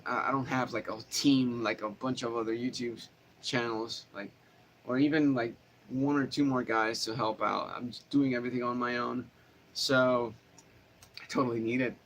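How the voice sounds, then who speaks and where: A man's voice: medium pitch, moderate pace. A man with a nasal voice talks loosely and casually into his camera mic, rambling through answers with plenty of "um" and "like." His voice sits in an ordinary middle range. A faint hiss of noise runs under him in a small, hot home room. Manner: rambling, relaxed, with many fillers and restarts. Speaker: guitar teacher answering viewer questions. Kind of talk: casual livestream chat. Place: small home studio room, no air conditioning, fan running. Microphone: camera microphone. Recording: faint background noise from a fan.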